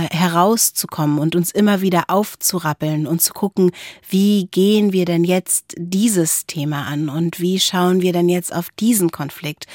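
Low-voiced reflective woman, dry sound. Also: a little mellow, no echo. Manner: reflective, searching for words. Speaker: podcast co-host. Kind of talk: conversational philosophy podcast talk.

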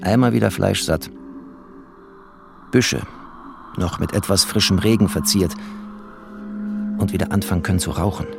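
A bitter, bookish man narrating his refugee life in a literary radio play. His voice is low and gravelly. He speaks expressively, with poetry and sardonic bite, over background music. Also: normal speed, long pauses. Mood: brooding, lyrical. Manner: expressive, sardonic, poetic delivery.

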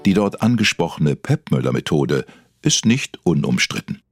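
A man playing a solemn documentary narrator, delivering scripted lines in a weighty, dramatic way with a husky voice. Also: rumbling low, very animated, moderate pace, soft background music, full character voice. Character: male documentary narrator, solemn, mock-investigative.

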